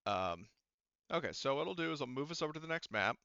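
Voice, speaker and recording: A man running a tabletop roleplaying game as game master, narrating off the cuff in a casual, halting, rambling way. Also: on the high side, expressive, creaky, bright, forceful tone, smooth, no echo, muffled recording.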